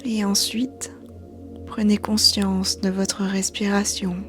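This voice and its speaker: A woman yoga teacher guiding a meditation in a soothing, hushed, breathy voice. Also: mid-range voice, little pitch movement, takes it slowly. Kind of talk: guided meditation narration. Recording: soft background music. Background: quiet music.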